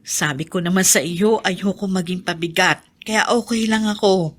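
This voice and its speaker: A woman's voice: fairly low pitch, moderate pace, natural voice. Resigned Filipino mother played by an actress. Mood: resigned, sad. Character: resigned, self-sacrificing mother losing her sight. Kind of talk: Filipino radio drama acting.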